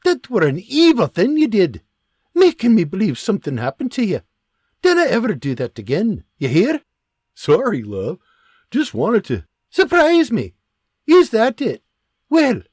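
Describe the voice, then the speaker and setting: Man playing fiery farm mum, high and shrill, loud exclamations, dry close sound. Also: dry recording. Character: fiery Scottish farm mother, quick-tempered, loving. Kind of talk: audiobook character voice.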